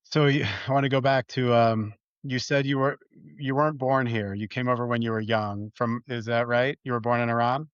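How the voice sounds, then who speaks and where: A man's voice: mid-range voice, steady tone, moderate pace, few pauses, smooth, no echo, dull audio. A man hosting a dental podcast, asking questions in a friendly, loose way with restarts. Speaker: podcast host, dentist. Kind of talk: casual interview talk.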